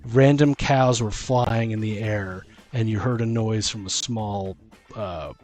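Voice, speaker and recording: A man's voice: fairly low pitch, steady tone, takes it slowly, a little mellow, soft background music. A man in casual podcast chat about tabletop gaming as co-host, informal and thinking aloud as he goes. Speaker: co-host and dungeon master.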